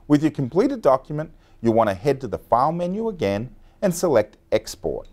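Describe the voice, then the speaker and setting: Lively-voiced man teaching software. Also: mid-range voice. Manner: clear, instructive, step-by-step. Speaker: software trainer. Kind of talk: scripted tutorial narration.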